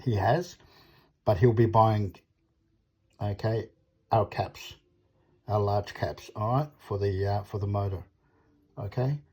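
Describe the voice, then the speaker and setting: A man's voice: fairly low pitch, slow, long pauses, darkish tone, breathy edge. A man with an Australian accent giving a casual, unscripted product talk as a small business owner, rambling and blunt, often checking in with short tag questions. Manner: rambling, blunt, repeats tag questions like okay and all right.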